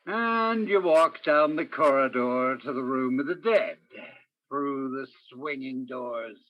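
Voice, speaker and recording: A world-weary male police detective played by a man narrates a hardboiled radio drama. He speaks slowly and deliberately, leaning on dramatic pauses. The sound is dull and lo-fi. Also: mid-range voice, natural voice. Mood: brooding, intense. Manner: deliberate, dramatic, poetic second-person delivery with heavy pauses. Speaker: narrator and lead actor in a radio play.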